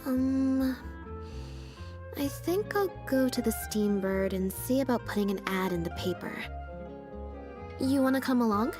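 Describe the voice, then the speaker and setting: Game role: a cool, deadpan young magician's assistant, a touch high, flat and dry. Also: female voice, measured pace, long pauses, over music. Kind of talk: video game voice acting.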